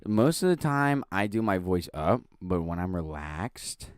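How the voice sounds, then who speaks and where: A man's voice: sits low, very animated, a little slow, smooth, no room sound, clean studio sound. A young man co-hosting a podcast, joking and swearing casually into a desk mic. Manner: swears a lot, jokey, playful. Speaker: podcast co-host. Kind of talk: casual chat.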